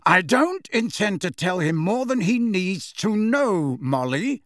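A man's voice: sits high, big pitch swings, slow, a bit bright, no room sound, clean studio sound, voice pushed up, exaggerated voice. A man playing an impatient, proud, defiant godfather in a storybook, speaking curtly. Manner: curt, impatient.

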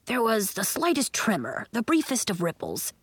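A woman's voice: mid-range voice, huge pitch swings, normal speed, slightly rough, no echo. A woman voicing a hearty, encouraging male school coach in a storybook. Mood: careful.

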